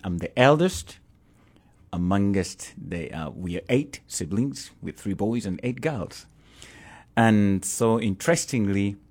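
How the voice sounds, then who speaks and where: A man's voice: lowish voice, darkish tone. A middle-aged man with a Ugandan accent, a pastor talking as a guest in an interview, earnest and prone to repeating himself.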